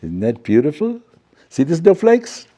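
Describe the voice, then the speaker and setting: Guy doing a jolly fussy professor reading to kids, slow and mellow, voice swooping all over. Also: fairly high pitch, wildly swooping pitch, pitched above the performer's own voice, heavily acted. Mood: chatty, delighted. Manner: theatrical, fussy, chatty, tag questions, jumbled words. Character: doddering, jolly, theatrical male professor storyteller reading to children. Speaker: storyteller. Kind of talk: children's storybook reading with playful asides.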